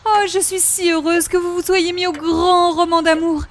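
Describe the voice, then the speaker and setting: Very high-voiced gushing busybody lady. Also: level tone, moderate pace. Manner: gushing, dramatic, prim, flowery. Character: effusive, romantic, middle-aged busybody lady neighbour. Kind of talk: old-time radio comedy acting.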